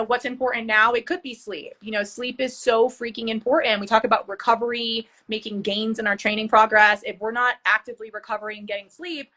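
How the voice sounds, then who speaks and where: A woman in her thirties with a bright, forward voice talks fitness as a podcast co-host. She is chatty and enthusiastic, and her casual talk is full of fillers like "you know" and "like.". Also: a little high. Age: 30s. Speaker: fitness and nutrition coach, co-host.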